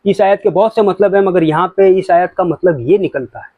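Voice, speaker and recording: A man's voice: high-pitched, brisk, no room sound, phone-quality sound. A man giving an informal religious talk to viewers, explaining points in an explanatory way with examples. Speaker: religious commentator.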